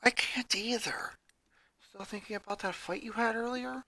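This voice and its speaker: A story reader voicing a blunt but secretly caring young girl, with hushed, questioning delivery. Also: mid-range voice, steady tone, normal speed, no echo, voice pushed up. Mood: hushed concern.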